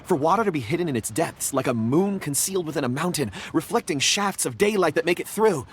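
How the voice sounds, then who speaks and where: A man's voice: on the high side, expressive, fast talker, slight background noise, lightly acted. A man voicing a genial, absent-minded young rock enthusiast in a video game, polite and eloquent. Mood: admiring, eloquent. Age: young adult. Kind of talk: video game dialogue acting.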